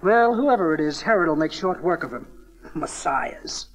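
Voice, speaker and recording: An actor playing an older temple priest in a dramatized audio Bible, musing and cynical yet formal and ceremonial. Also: male voice, fairly high pitch, animated, normal speed, natural voice, poor audio. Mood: cynical, weary.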